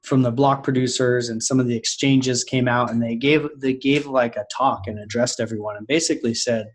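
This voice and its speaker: A man with a middle-range voice speaks in a halting, searching way, starting over and filling gaps with "uh" and "um". It sounds like relaxed podcast talk. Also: medium pitch. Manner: hesitant, halting with many uh and restarts. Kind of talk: casual podcast conversation.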